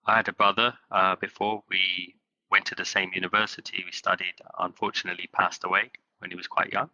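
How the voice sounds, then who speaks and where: A man from England, a company's chief executive, answering interview questions in halting phrases with many fillers. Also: low-pitched, level tone, sharp tone, dull audio. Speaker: guest, company CEO.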